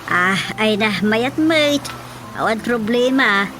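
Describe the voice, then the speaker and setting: A woman playing a fussing, soft-hearted mother in a radio drama comedy. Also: a little high, expressive, takes it slowly. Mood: concerned. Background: music.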